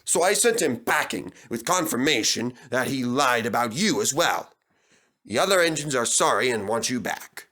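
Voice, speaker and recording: Storybook gentleman in authority, male performer: stern yet kindly, formal, measured, crisp bright voice. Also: medium pitch, lively intonation. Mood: kindly, proud. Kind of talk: storybook fandub character voice.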